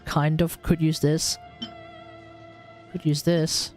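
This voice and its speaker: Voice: medium pitch, little pitch movement, slow, a little mellow, faint music behind. A live streamer giving casual gaming commentary, thinking aloud and talking to the chat.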